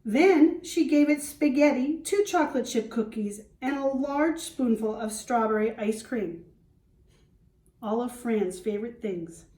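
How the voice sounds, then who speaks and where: A woman with a somewhat high voice reads a picture book aloud as a teacher would. She goes very slowly, explains in simple terms and pauses for questions. The sound is roomy and echoing, picked up at a distance from the microphone. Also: mellow. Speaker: schoolteacher reading to class. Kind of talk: children's storybook read-aloud with questions.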